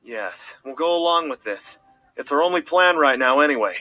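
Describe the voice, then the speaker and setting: A man's voice: a little high, lively intonation, normal speed, pushed and bright, no room sound. A man voicing an earnest, good-natured cartoon horse prince who leads the guard, with a casual manner. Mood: serious, agreeing. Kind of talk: fan audio drama acting. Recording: music behind the voice.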